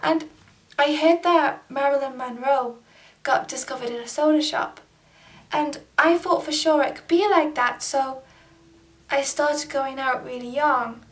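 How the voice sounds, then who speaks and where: A woman playing a dreamy, hopeful young woman who tells her story softly and wistfully, recorded from a distance. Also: high-pitched, a little slow. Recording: distant camera mic.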